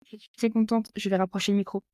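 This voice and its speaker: A woman's voice: mid-range voice, flat delivery, fast talker, dark tone, no room sound. A woman hosting a podcast, asking questions in a friendly, upbeat way. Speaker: podcast host. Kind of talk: casual podcast interview. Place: near Paris.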